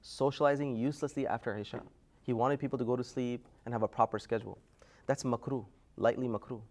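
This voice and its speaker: A male teacher lecturing a room of students in a relaxed, conversational way. He keeps asking the class questions and climbs higher and louder when he wants them to call out the answer. His pitch sits at a typical middle level for a man. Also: fast. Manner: energetic, quizzing the class, rising loudly to prompt answers. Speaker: Islamic studies teacher.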